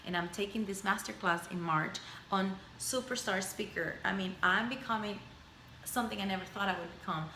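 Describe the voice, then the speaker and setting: Bright-voiced woman, echoey home room. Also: medium pitch, normal speed, a little hiss or hum. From Spanish-speaking background. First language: Spanish. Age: 30s. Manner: reflective, rambling, repeats phrases in lists. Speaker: vlogger. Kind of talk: personal video journal. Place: indoors at home. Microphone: camera mic.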